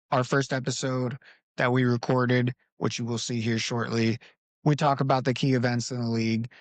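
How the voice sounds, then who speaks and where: A man hosting a casual basketball podcast, talking in a relaxed, conversational way and leading the discussion. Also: medium pitch, dry recording. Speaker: podcast host. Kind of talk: casual sports podcast talk. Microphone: home recording setup.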